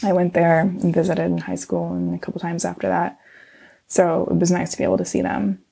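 A woman's voice: fairly low pitch, little pitch movement, brisk, vocal fry, dark tone, breathy edge. A middle-aged woman, a scientist guest whose first language was Chinese, answering in casual conversation, hesitant with many ums and restarts.

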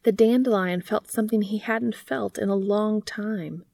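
A woman reading a story aloud in gentle, unhurried scripted narration. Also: medium pitch, steady tone, gravelly, no echo, studio quality.

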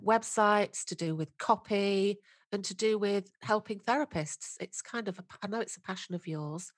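A woman's voice: medium pitch, no room sound, studio quality. A woman hosting a podcast, talking in an effusive, enthusiastic and chatty way. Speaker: podcast host. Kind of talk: conversational podcast interview.

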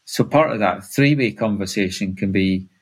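Man with a lowish voice, relaxed host asking questions, thinks aloud as he talks. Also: normal speed, slightly rough. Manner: chatty, thinking aloud, with restarts. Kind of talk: conversational interview questions.

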